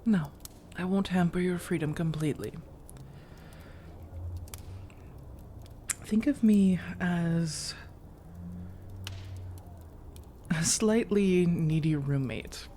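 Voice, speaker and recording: Casting: a woman to play a seductive vampire lady for ASMR audio roleplay, warm low-lit timbre, soft-spoken, slow teasing pauses, whispery edges. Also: a little hiss or hum, lightly acted. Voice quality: soft, breathy delivery slipping into whispers. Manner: teasing, measured, intimate, with deliberate pauses. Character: flirtatious, coaxing female vampire seeking a roommate.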